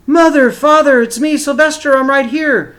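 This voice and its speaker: A man's voice: extremely high pitch, normal speed, pitched above the performer's own voice. A man voicing a desperate, pleading young male donkey in a children's storybook. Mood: excited, urgent. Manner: excited, pleading, exclaiming.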